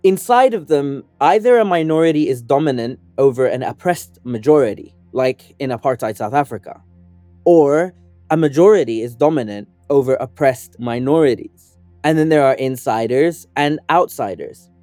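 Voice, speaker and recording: A young adult man from Sudan, an organizer and activist, reading a scripted first-person essay in an earnest, measured, persuasive way. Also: medium pitch, mellow, dry recording, faint music behind.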